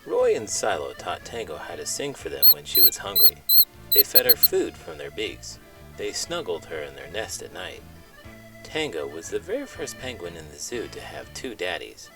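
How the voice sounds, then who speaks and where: A man hosting a children's read-aloud, speaking in an expressive, playful storytelling style. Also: low voice, poor audio. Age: adult parent.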